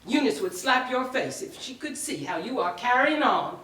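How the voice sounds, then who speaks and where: Woman acting indignant condescending lady of the house, animated slow delivery, haughty, scolding, staged reading, roomy distant recording. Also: on the high side. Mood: indignant, offended. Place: indoor live reading at a public library. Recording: echoey room, picked up from a distance.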